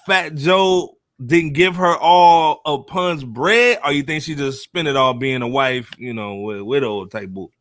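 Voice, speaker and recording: A man's voice: on the high side, measured pace, few pauses, a bit bright, no echo. An American man hosting a casual hip-hop panel chat in slangy, informal speech. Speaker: podcast host.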